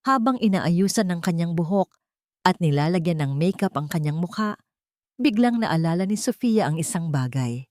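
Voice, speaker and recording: A woman's voice: on the low side, expressive, normal speed, darkish tone, dry recording. A woman reading a scripted dramatic story as narrator.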